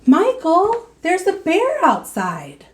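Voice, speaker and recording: Young storybook boy, excitable, exclaiming, raised pitch, very varied intonation a woman acting. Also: slow, exaggerated voice. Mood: surprised, curious.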